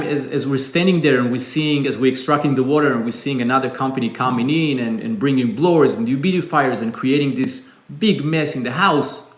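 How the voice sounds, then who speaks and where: A man from Israel with an Israeli accent, a guest telling his story in a calm, reflective way. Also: mid-range voice. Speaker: business owner guest. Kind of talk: interview storytelling. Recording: echoey room.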